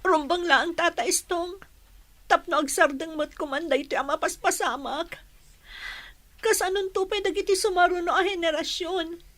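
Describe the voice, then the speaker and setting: A woman's voice: very high voice, moderate pace, fairly bright tone. A woman playing an earnest, grieving young village daughter in an Ilocano radio drama. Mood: sorrowful, earnest. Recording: music behind the voice.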